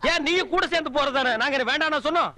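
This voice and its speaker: Sarcastic village loudmouth, super high forceful voice, rattles off insults, film comedy with some noise. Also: male voice, racing, exaggerated voice. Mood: scornful sarcasm. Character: loud, scornful, sharp-tongued village man, mocking everyone. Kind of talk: slapstick Tamil film comedy dialogue.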